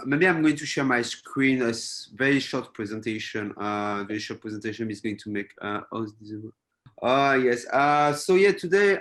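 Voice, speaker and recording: A man's voice: mid-range voice, a bit bright. Male guest speaker, French accent, hesitant webinar delivery over a call mic. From France. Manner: halting, many fillers and restarts. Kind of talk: presenting to a webinar. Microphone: video call.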